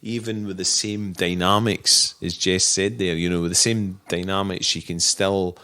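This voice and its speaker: Very deep, gravelly-voiced man. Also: steady tone, sharp tone. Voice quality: husky. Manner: thoughtful, halting, many restarts. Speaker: distillery manager, podcast guest. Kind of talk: relaxed interview talk about whisky making.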